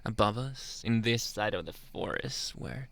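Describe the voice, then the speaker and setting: Slow, gravelly man playing a flirty werewolf. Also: on the low side, expressive, fairly bright tone, full character voice. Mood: seductive, coaxing. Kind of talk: ASMR roleplay monologue.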